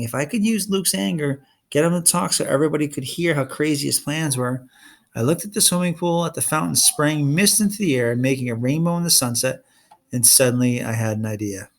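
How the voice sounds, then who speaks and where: A man reading a story aloud as an expressive narrator. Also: a little high, vocal fry. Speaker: storybook reader.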